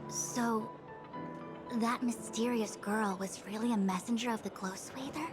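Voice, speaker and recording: A woman's voice: fairly high pitch, steady tone, a little slow, close to an everyday voice. A woman playing a composed, authoritative diviner in a video game, speaking formally and precisely. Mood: analytical. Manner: formal, matter-of-fact, precise. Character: composed, authoritative young female master diviner. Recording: faint game music behind.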